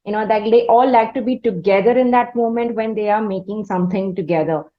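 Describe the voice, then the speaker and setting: A woman's voice: medium pitch, a little fast, dull audio. A woman with an Indian accent hosting a music interview, speaking in an effusive, wordy, roundabout conversational way. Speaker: interviewer, podcast host.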